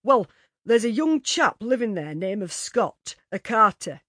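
A woman's voice: medium pitch, sing-song, measured pace, a bit bright, dry recording, clean studio sound, pitched above the performer's own voice. A woman voicing a gossipy working-class delivery man who tells bad news with relish. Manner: relishing, chatty storyteller.

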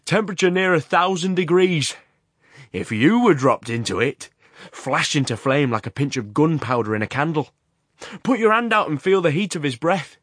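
A man's voice: fairly high pitch, expressive, normal speed, a bit bright, no room sound, clean studio sound, voice pushed up. A man voicing a furious, bawling middle-aged ironmaster in a dramatic audiobook reading, his voice raised to a savage bellow. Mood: bellowing menace.

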